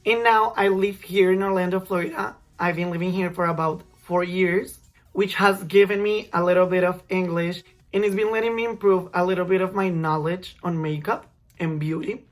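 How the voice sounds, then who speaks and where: A young man from Colombia, a native Spanish speaker with a Colombian Spanish accent, talking through a makeup tutorial in chatty, slightly halting English. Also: high-pitched, steady tone. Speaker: makeup vlogger. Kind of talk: casual makeup tutorial talk.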